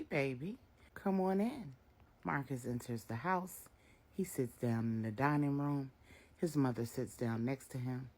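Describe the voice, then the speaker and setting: A woman's voice: rumbling low, darkish tone. A woman storyteller narrating a scripted drama for grown-ups.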